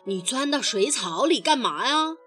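A woman voicing a brisk, helpful crab in a children's story. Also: high voice, expressive, fairly bright tone, faint music behind. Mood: puzzled. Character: helpful crab who urges others on.

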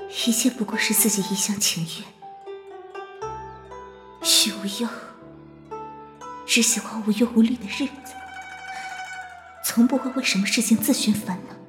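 A woman playing a proud, melancholy young noblewoman in love, speaking wistfully in short measured phrases in a period audio drama. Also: on the high side, sing-song. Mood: bitter, wistful. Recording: music behind the voice.

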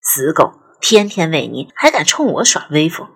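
A woman's voice: deep voice, big pitch swings, moderate pace, fairly bright tone, long reverb tail, heavily acted. A woman voicing a middle-aged mother who scolds affectionately with mock fierceness, in an audio drama. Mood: mock angry. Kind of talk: audio drama character dialogue.

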